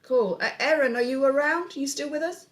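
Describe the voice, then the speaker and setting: A woman's voice: sits high, brisk. Frank woman hosting a panel. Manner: frank, casually sweary. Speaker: host of a script reading panel. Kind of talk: casual panel discussion.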